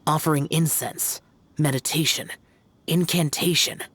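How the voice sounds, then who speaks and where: A woman's voice: deep voice, fairly even intonation, a little slow, fairly bright tone, slight background noise. A woman voicing a stern young male warrior spirit in a game cutscene, speaking in a grave, formal manner. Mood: stern, solemn. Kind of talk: video game cutscene dialogue. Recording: game soundtrack music underneath.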